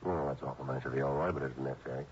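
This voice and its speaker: Police sergeant for vintage radio drama: very low American voice, quick clipped lines, kindly and understated, dull recording. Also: male voice, steady tone, husky, exaggerated voice. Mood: modest, kind. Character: kindly, laconic police sergeant, adult man. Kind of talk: 1950s radio drama acting. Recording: dull, faintly noisy old radio recording.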